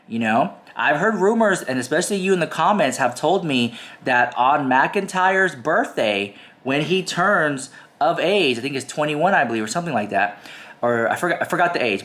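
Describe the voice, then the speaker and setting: A man's voice: medium pitch, a bit bright. A man with a stuffy cold, chatting to viewers in a gossip commentary video and repeating himself for emphasis. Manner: chatty, addresses viewers directly, emphatic repetition. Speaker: YouTube commentary host. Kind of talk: casual gossip commentary to camera.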